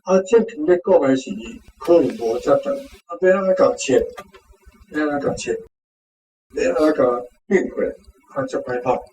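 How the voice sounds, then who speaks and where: Male language teacher, Taiwanese accent, higher register, explanatory and repetitive; roomy pickup with faint noise. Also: animated, moderate pace, a little mellow, recorded from a distance. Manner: explanatory, repeats words and phrases for the learner.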